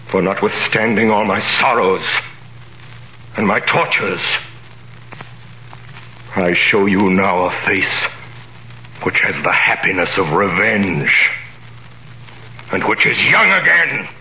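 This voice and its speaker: A vengeful nobleman who commands the scene, theatrical and imperious, dropping to icy whispers and rising to thundering accusation. The tone is bright and forceful. It is an old radio recording with a roomy echo and faint hiss. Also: sits low, full character voice. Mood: triumphant. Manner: commanding, theatrical, swings from cold hush to thundering. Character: vengeful nobleman, a wronged man returned in triumph. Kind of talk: 1930s radio drama acting.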